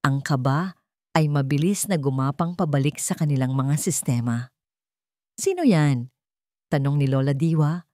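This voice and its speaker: A woman's voice: rumbling low, moderate pace, darkish tone, no echo, clean studio sound. A woman reading scripted fiction as a dramatic storyteller. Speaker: story narrator.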